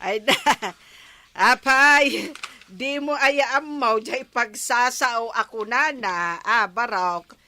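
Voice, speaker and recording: A woman's voice: fairly high pitch, pushed and bright, slight background noise. A woman playing a nosy, mocking yet preachy village woman in an Ilocano radio comedy drama, teasing and admonishing with an Ilocano accent. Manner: chuckling, teasing, drawn-out admonishing.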